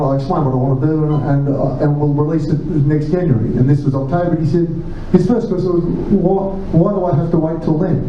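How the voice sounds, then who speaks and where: A middle-aged Australian man answering audience questions at a pub talk, telling lively stories with quick asides. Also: on the high side, slight background noise. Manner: animated storyteller, quick asides, restarts. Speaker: chair of a republican movement, guest speaker. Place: pub function room. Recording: distant, muffled, roomy pub recording.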